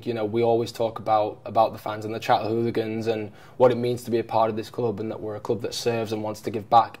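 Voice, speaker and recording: Thirty-something guy coach talking after a game, mellow warm voice, lots of ums and restarts. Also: mid-range voice. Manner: reflective, frequent fillers and restarts. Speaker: head coach. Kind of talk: post-match press conference. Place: press room in front of a sponsor backdrop.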